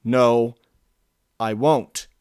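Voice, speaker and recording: A man's voice: mid-range voice, steady tone, very slow, darkish tone, clean tone, no room sound, studio quality. A man voicing a frightened stallion who pleads and begs while he struggles with a beast inside him. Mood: pleading. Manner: pleading, trembling. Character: frightened stallion fighting his inner beast.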